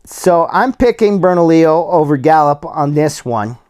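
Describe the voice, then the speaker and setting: A man hosting a solo sports podcast, slightly hoarse and losing his voice, who gives bracket predictions in a casual, plain-spoken way with many fillers and restarts. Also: on the high side, animated, moderate pace, a little mellow. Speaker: podcast host.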